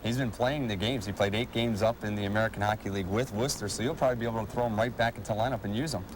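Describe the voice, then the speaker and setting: A man with a fairly low voice hosts a sports program in a polished broadcast manner. He presents and interviews like a play-by-play announcer, over noticeable ambient background noise. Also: rapid-fire, rough recording. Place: arena civic center.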